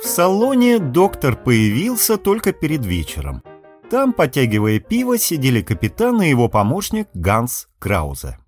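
A man's voice: mid-range voice, huge pitch swings, normal speed, faint music behind. A man reading literary fiction aloud as an audiobook narrator, expressively.

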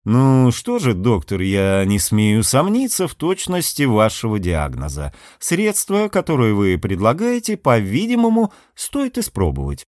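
A man's voice: mid-range voice, wildly swooping pitch, measured pace, few pauses, darkish tone, no echo, studio quality, pitched below the performer's own voice. Man acting a portly ship's captain, swooping intonation. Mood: slyly formal. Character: portly older male ship's captain, jovial, scheming.

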